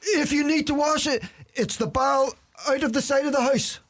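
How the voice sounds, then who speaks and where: Actor as a high-voiced old Donegal uncle. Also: male voice, fairly even intonation, normal speed, fairly bright tone. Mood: droll. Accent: Donegal Irish. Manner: grumbling, droll. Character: scrawny old idle uncle, complaining and boastful. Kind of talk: radio play acting.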